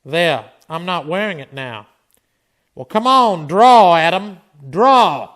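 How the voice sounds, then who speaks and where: A man's voice: high voice, sing-song, slow pace, a bit bright, a little hiss or hum, full character voice. A man playing a stern, world-weary marshal in a Western radio drama, with a commanding, curt manner. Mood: defiant, challenging. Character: stern, world-weary male frontier marshal facing down lynch mobs.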